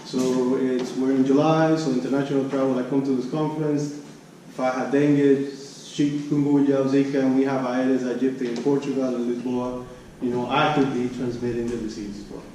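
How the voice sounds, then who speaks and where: A man's voice: medium pitch, level tone, mellow. Echoey, distant man giving an academic talk. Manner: halting delivery, repeats and restarts phrases. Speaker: researcher presenting at a conference. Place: conference room. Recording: echoey room, distant mic, background noise.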